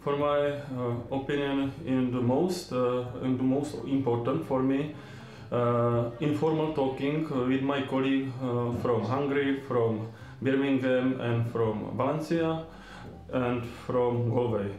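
A man in his forties, not a native English speaker, answering an interview haltingly in simple phrases. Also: mid-range voice, steady tone, a little mellow, dull audio, faint music behind. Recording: echoey office.

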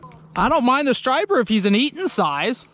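A young man, chatting casually to his viewers from a small boat on a lake, getting excited when fish bite. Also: very high-pitched, fairly quick. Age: young adult. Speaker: fishing vlogger. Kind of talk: casual outdoor vlog commentary. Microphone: action camera on the boat.

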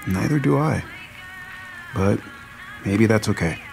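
An actor playing an earnest, wry, heartbroken restaurant cook, hesitant and understated, in naturalistic audio drama. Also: male voice, fairly low pitch, lively intonation, slow pace, gravelly, soft background music, full character voice, rough recording. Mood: wistful, resigned.

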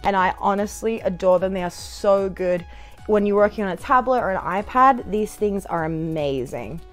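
A woman's voice: medium pitch, moderate pace, soft background music. A young woman chatting casually to camera during an unboxing, with a playful, bubbly manner and sing-song asides, recorded in a living room. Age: young adult. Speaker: product reviewer.

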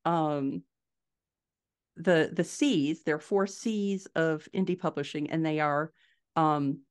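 A middle-aged woman with a low voice speaks as a guest in a conversational interview. She explains her points step by step and leans on the key words. She is recorded over a video call from a room at home. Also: takes it slowly, smooth. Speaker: podcast guest, indie fiction author. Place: small furnished room at home, indoors.

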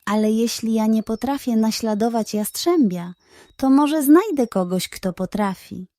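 A woman voicing a sly, self-satisfied male pug, boastful and excitable, in a children's audiobook. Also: on the high side, measured pace, dry recording, studio quality, lightly acted. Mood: puzzled.

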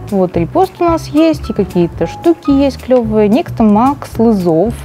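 A woman's voice: on the high side, lively intonation, measured pace, dark tone, faint music behind. A young woman co-hosting a live stream, chatting playfully and reading out giveaway winners. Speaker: stream co-host. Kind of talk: casual live stream chat.

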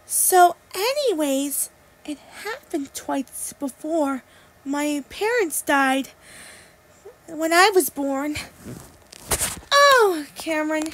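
Woman voicing a very high-pitched cartoon girl. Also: heavily acted, low-quality recording. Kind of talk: amateur character voice lines.

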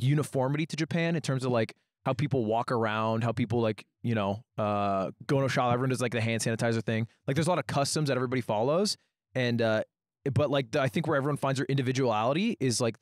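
Podcast host, male, twenties, American accent, mid-pitched, casual group chat, dry handheld-mic sound. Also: fast talker, no room sound. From New Hampshire, United States. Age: 20s. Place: outdoor rooftop deck. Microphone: handheld microphone held close.

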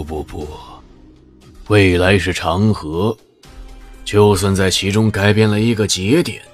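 Brief: deep-voiced, secretive shadow teacher for an audio drama, slow and grave, with music underneath. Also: male voice. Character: cryptic shadowy male mentor who foresees futures.

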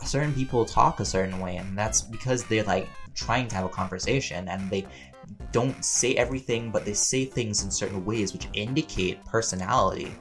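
A man's voice: fairly low pitch, normal speed, soft background music. A man chatting casually and playfully as a voice actor answering listener questions in a rambling Q&A. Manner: playful, chatty, laughs often, animated emphasis.

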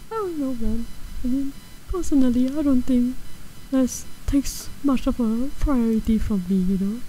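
A woman streaming a video game and chatting with viewers, talking softly in an attempt at ASMR and swearing when startled. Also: high-pitched, slight background noise, poor audio. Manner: soft, slow attempt at ASMR-style talk. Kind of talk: live gameplay chat with viewers.

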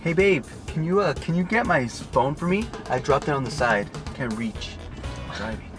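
A young man bantering deadpan as a boyfriend in a comedy sketch, filmed in a car by a dashboard camera. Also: fairly high pitch, moderate pace, music in the background. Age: young adult. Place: inside a parked car.

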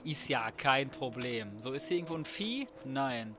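A man's voice: medium pitch, animated, normal speed. A young man giving casual, slangy, flippant let's play commentary. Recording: faint noise, game sounds behind. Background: video game ambience.

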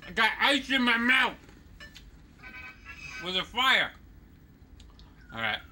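A man co-hosting a game let's play, joking and teasing the player with animated commentary. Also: very high voice, slow pace, a little hiss or hum. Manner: teasing, animated, exclaims and laughs often.

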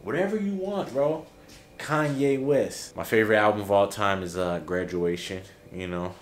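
A man in his thirties gives a casual tour of his small barber studio, chatting in relaxed slang with animated jumps in pitch and loudness. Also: normal speed. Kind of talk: casual vlog walkthrough. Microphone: handheld camera mic, moving around the room. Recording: roomy echo, somewhat far from mic, music behind.

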